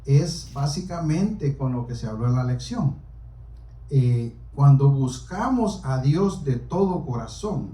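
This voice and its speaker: A man preaching to a small congregation in a halting, deliberate way, explaining scripture as he goes. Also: on the high side, dark tone. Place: small church during a service. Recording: roomy, echoing hall, distant microphone.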